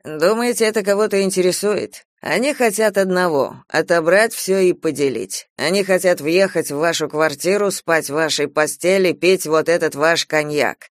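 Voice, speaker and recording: A man voicing a gloomy, alarmist gentleman adviser in an audiobook, speaking emphatically and persuasively. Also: fairly high pitch, normal speed, hardly any pauses, no room sound, clean studio sound, lightly acted. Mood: ominous warning.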